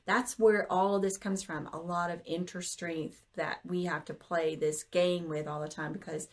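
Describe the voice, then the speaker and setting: A woman's voice: lowish voice, fairly even intonation, normal speed. A woman hosting a podcast, talking casually in an earnest, encouraging way. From Southern United States. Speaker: podcast host. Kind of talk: casual conversational interview.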